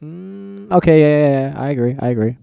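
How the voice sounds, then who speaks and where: A man's voice: a little high, animated, fairly quick, vocal fry, warm tone, clear, resonant tone, no room sound. A man hosting a casual podcast chat, talking in an informal, agreeable way. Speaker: podcast host.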